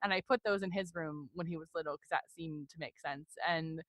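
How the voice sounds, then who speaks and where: Female podcast guest, forties. Low pitch, dry recording, candid and reflective conversational delivery. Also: fairly quick, a bit bright, no room sound. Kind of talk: candid personal interview conversation.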